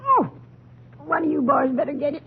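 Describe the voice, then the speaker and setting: A woman's voice: sits high, sing-song, moderate pace. A woman playing a folksy, nosy older landlady with a rural Southern accent, grumbling and rambling in old-time radio drama style. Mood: wary, complaining. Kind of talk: 1940s-50s radio drama acting. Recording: muffled, noisy old radio recording.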